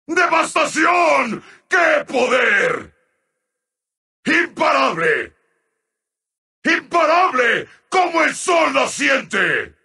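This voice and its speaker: An actor playing an exalted sun scarab god as a theatrical, grandiose video game announcer. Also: male voice, very high-pitched, gravelly, sharp tone, heavily acted. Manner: theatrical, grandiose, drawn-out exclamations. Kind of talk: video game announcer pack, exclamatory callouts.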